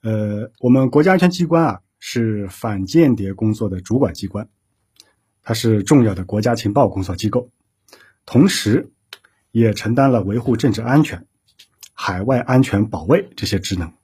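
A man speaking as an official interview guest, explaining things formally in measured phrases. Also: lowish voice, animated, a little mellow, husky.

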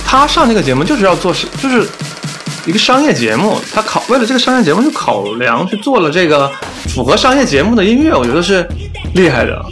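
Lively higher-voiced man chatting. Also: fast talker, faint music behind. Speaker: podcast host. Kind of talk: casual music chat.

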